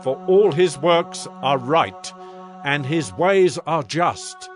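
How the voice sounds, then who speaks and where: A man's voice: on the high side, animated, slow pace, soft background music. An actor playing a proud Babylonian king in a dramatized scripture reading, with a stately, declamatory delivery. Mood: reverent, exultant.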